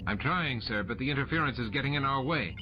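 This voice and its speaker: A man's voice: mid-range voice, animated, fast talker, noisy background, pitched above the performer's own voice, lightly acted. A man voicing a harried male chief engineer, giving terse technical reports in an audiobook. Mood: dogged. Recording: muffled audio under loud music.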